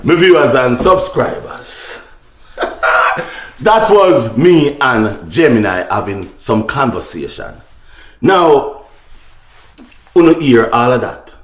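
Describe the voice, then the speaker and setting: A man's voice: mid-range voice, sing-song, slow pace, pauses often, reverberant. A man with a Jamaican accent hosting casual opinion talk for his viewers, speaking emphatically and repeating phrases. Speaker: online talk show host.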